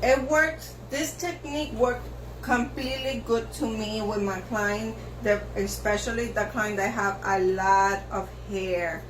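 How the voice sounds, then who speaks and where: A woman hairstylist whose first language is Spanish, giving slow step-by-step instructions for a haircut, with lots of repetition. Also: mid-range voice, slight background noise. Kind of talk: haircut tutorial narration. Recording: echoey room with faint ambience.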